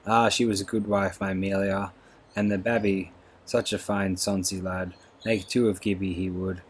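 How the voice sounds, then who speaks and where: A man's voice: deep voice, flat delivery, normal speed, breathy edge. A man voicing a broken, apologetic old male soldier who speaks in a humble, pleading country way. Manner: pleading, humble rustic speech.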